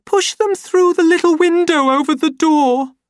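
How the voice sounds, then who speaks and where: A woman's voice: extremely high pitch, measured pace, pitched above the performer's own voice. A woman voicing a young woman who turns from coaxing to terror. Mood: frightened pleading.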